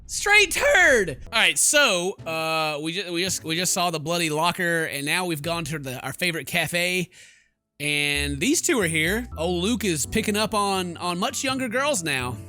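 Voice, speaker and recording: A man hosting a gaming video, reading game text aloud and commenting in a sarcastic, animated way on a close mic. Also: high voice, a little slow. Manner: sarcastic, animated when incredulous.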